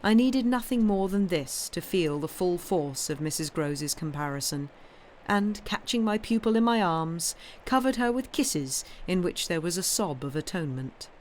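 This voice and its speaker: A woman reading a classic novel aloud in an expressive, literary narrating style. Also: lowish voice, moderate pace. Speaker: reader, streamer. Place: live stream. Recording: clearly noisy background.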